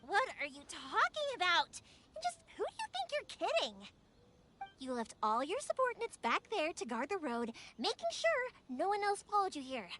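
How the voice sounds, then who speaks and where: A woman's voice: very high voice, wildly swooping pitch, moderate pace, sharp tone, a little hiss or hum, exaggerated voice. A woman voicing a spirited, headstrong young fireworks maker in a video game, emphatic and impassioned. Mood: pleading, insistent. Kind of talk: English video game voice acting.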